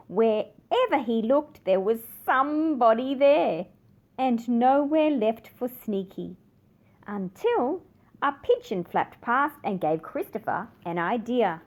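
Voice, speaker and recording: A woman reading a children's picture book aloud with expressive, dramatic emphasis. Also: a little high, slow pace. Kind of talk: scripted children's story narration.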